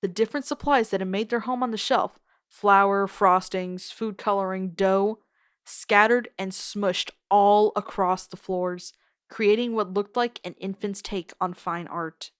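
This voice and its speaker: A woman narrating a scripted story in an expressive storytelling style. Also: mid-range voice, a little slow, clear, resonant tone, dry recording, studio quality. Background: music.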